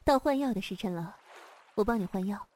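A woman's voice: mid-range voice, animated, fairly quick, a little mellow, slight background noise, close to an everyday voice. A woman playing a seductive, proud female sect leader in a wuxia audio drama, with a coy, teasing manner. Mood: gently caring. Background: music.

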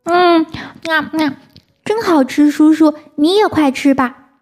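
A woman's voice: slow, a little mellow, faint music behind. Woman doing a little crybaby dinosaur. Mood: happy. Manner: high childish pleading. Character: tearful little baby dinosaur, sweet and polite.

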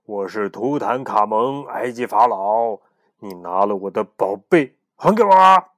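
A man playing a stern, booming ghost pharaoh. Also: expressive, no room sound, studio quality. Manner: slow, loud and commanding. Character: angry ghostly Egyptian pharaoh, male, stern.

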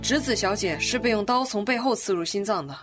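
A woman's voice: medium pitch, brisk, lightly acted. A woman playing a composed, inquisitive amateur investigator who speaks precisely in a radio drama. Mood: analytical, probing. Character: composed, inquisitive woman analyzing a murder case. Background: music.

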